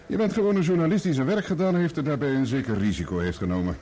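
An actor playing a brisk newspaper boss in a Dutch radio play, decisive and dismissive. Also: male voice, fairly high pitch, dark tone, lightly acted. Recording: old radio play, clear background noise.

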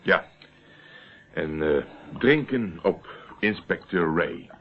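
Radio play role: composed private investigator, low voice, direct and reassuring, thin phone-like archive sound. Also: male voice, lively intonation, slow pace, heavily acted. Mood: calm, frank. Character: calm, blunt, courteous male private investigator. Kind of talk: Dutch radio drama acting. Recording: narrow, tinny phone-like old radio recording.

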